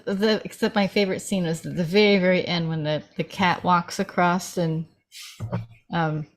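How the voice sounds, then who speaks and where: A woman's voice: fairly low pitch, fairly even intonation, moderate pace. A woman chatting casually about films as a participant in a table read.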